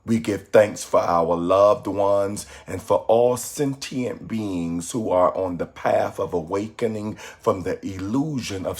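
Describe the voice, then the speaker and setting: Very slow, low-voiced man leading meditation. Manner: calm, measured, soothing delivery with pauses. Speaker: guided meditation leader. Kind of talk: guided meditation, reading a script.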